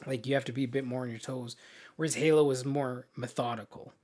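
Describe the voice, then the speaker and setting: A man's voice: mid-range voice, steady tone, quick pace, darkish tone. A man co-hosting a podcast, chatting casually about games and films in an informal, slangy way with some mild swearing. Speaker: podcast co-host.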